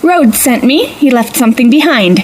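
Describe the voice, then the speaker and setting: A woman's voice: sits high, animated, moderate pace. Echoing, wry woman investigator, played by an actress. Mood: wry, musing. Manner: wry, talking to herself. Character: wry, confident, self-reliant female amateur investigator. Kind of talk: audio drama acting. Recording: roomy, long reverb, music behind.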